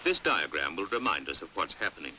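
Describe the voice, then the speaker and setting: A British man narrating a 1950s educational film in a measured, precise, didactic way, on an old hissy soundtrack. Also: very deep voice, very animated, sharp tone, rough recording. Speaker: documentary narrator. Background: faint hiss.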